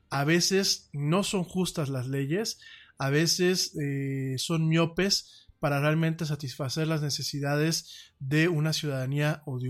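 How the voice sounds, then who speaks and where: Mexican male podcast host, a bit high-pitched, talky and rambling, jumps up in pitch when he gets emphatic. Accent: Mexican Spanish. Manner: chatty, rambling, frequent restarts and fillers. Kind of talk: casual solo podcast chat.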